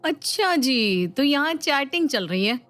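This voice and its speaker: Woman acting a high-pitched, exasperated elder sister-in-law. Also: very animated, unhurried, fairly bright tone, dry recording, heavily acted. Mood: irritated, mocking. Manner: scolding, colloquial, questioning. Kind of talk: dramatised Hindi family storybook. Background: music.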